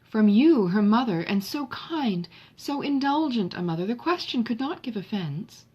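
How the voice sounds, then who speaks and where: A sensible young sister from a classic novel, read out by a woman. She sounds uneasy and pleading, yet stays polite and reasoned. The voice is a little husky and rises on emphasis. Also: a little high, lively intonation, normal speed, highs cut off, pitched above the performer's own voice. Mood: concerned, pleading. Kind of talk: audiobook character dialogue from a classic novel.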